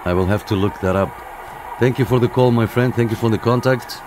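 A man talks as a CB radio operator making contacts. His voice sits at an average pitch. He mixes call signs with casual chat, with clear radio noise behind him. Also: a little fast. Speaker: CB radio operator and channel host. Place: indoor room by CB radio sets. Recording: clear background static and radio hiss. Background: radio static.

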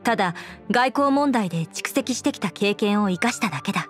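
An actress playing an earnest, composed young woman knight commander in an anime game, speaking in a formal, measured, dutiful way. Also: mid-range voice, lively intonation, soft background music, close to an everyday voice. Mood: courteous.

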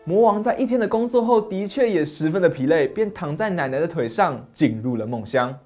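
A man in his thirties narrating a fairy tale and explaining it, steady and expressive. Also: a little high, brisk, soft background music. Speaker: storyteller host. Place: dim study set, indoors. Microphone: lapel mic.

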